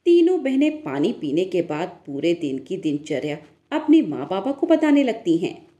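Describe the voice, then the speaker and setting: A woman's voice: fairly low pitch, sing-song, moderate pace, mellow. A woman narrator telling a scripted moral story in a storytelling style.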